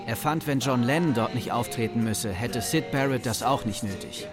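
A man's voice: medium pitch, moderate pace, lightly acted. A man giving scripted, anecdotal narration in a German radio play. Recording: music behind the voice.

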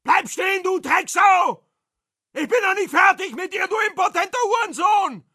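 Crazed angry guy yelling insults super high and harsh, crime audio drama madman. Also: expressive, normal speed, no room sound, studio quality, heavily acted. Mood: furious. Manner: bellowing, screaming insults. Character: raging, foul-mouthed madman chasing his enemy. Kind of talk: crime radio drama acting.

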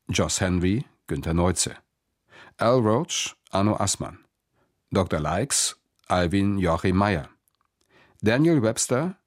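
A man's voice: deep voice, no echo, studio quality. A man reading radio play credits as a formal announcer in a scripted style.

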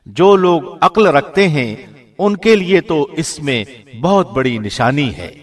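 Higher-pitched man reading scripture translation formally. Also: expressive. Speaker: translation reader, radio presenter.

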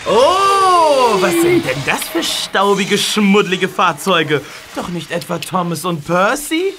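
A woman's voice: medium pitch, very animated, takes it slowly, over music. A woman voicing a vain, show-off red male steam engine in a children's audio drama, mocking and boastful.

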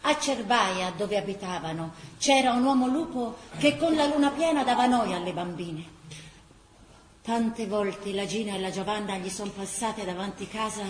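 An actress with a Tuscan accent narrating a wartime chronicle as an impassioned, vivid radio-theatre storyteller. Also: female voice, mid-range voice, normal speed, lightly acted. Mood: vivid, suspenseful. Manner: vivid, theatrical storytelling that breaks into characters' cries. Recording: roomy stage sound, faint background noise.

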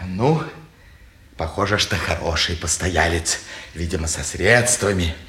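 An actor playing a fussy, self-important husband in a Russian stage drama, speaking in a halting, drawn-out way. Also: male voice, deep voice, fairly bright tone. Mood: self-righteous. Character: bossy, fussing, sanctimonious husband and head of household. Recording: echoey 1950s theatre recording.

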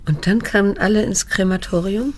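An actress in a radio drama playing a middle-aged daughter, restrained and quietly repelled, measured and insistent as she questions and narrates, in a husky voice. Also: medium pitch, natural voice. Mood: accusing, pressing.

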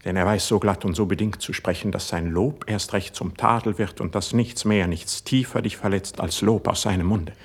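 A man playing a young, proud, wounded poet in a classic verse radio drama, declaiming intensely with a breathy voice. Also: sits low, moderate pace, few pauses. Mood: bitter, resentful.

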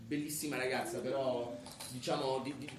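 An actor playing a polite, awkward journalist who is hesitant, evasive and stammering in a comic stage play. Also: male voice, medium pitch, level tone, normal speed, distant mic, lightly acted. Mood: hesitant, embarrassed. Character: awkward, polite male journalist, flustered and cornered. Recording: echoey theatre, loud noise.

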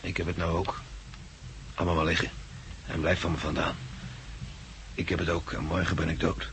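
Blunt, fatalistic blind sidekick, male, deep voice; slightly noisy vintage radio play. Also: level tone, normal speed, a bit bright. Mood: resigned, dying. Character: plain-spoken blind male companion, stoic, fatalistic. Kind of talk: 1960s Dutch radio drama acting.

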